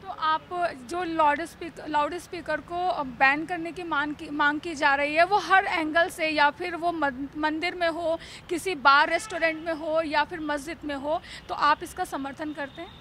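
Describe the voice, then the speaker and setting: A woman reporting and interviewing for the news speaks in a very high, forceful, bright voice. Her phrasing can halt and repeat as she puts questions together. Faint traffic and outdoor city noise sit behind her. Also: steady tone, a little slow, hardly any pauses.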